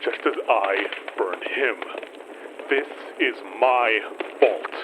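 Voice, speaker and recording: A man playing a guilt-ridden grieving father in an audio horror drama, halting and sombre. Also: mid-range voice, fairly bright tone, a little hiss or hum, low-quality recording. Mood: guilty, resigned.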